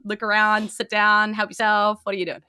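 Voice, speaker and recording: A woman's voice: medium pitch, completely flat delivery, brisk, pushed and bright, clean tone, dry recording. A woman with a New Zealand accent improvising narration as a tabletop game master, informal and conversational.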